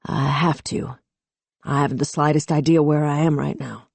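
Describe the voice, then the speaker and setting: A woman voicing a reserved, unsure young man who speaks hesitantly and softly in an audiobook. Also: deep voice, steady tone, moderate pace, creaky, no echo. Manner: hesitant, soft-spoken.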